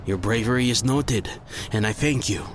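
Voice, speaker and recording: A man voicing a calm, solemn male ninja leader in a fantasy story, measured and authoritative. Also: mid-range voice, over music, pitched above the performer's own voice, lightly acted.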